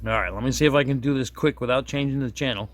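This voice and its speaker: A man's voice: medium pitch, fast. A man giving a casual, unscripted hands-on product demonstration, folksy and self-deprecating, often rambling and correcting himself as he goes. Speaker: product reviewer demonstrating a radio.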